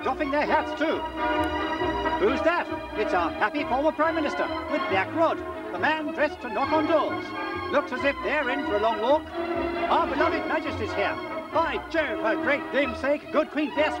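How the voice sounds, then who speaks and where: A man's voice: extremely high pitch, rough recording. A man with a British accent putting on a jolly, gushing old-time cinema newsreel announcer, chirpy and quaintly exclamatory. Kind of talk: parody 1940s cinema newsreel commentary. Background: loud music.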